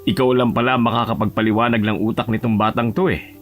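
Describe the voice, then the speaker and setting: A man acting a warm, grateful elderly grandfather in a Tagalog audio drama, over music. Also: medium pitch, level tone, fast, lightly acted. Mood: grateful, teasing.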